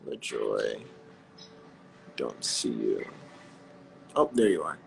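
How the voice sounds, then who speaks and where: A man hosting a breathwork live stream, chatting in a relaxed, friendly way with callers and often correcting himself mid-sentence. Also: a bass voice, slow, gravelly, slight background noise.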